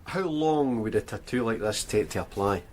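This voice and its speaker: A man's voice: fairly low pitch, lively intonation, normal speed, a little hiss or hum, lightly acted. A man playing a polite detective. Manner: polite, businesslike questioning. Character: polite male police detective, methodical. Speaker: police officer. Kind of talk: radio drama acting.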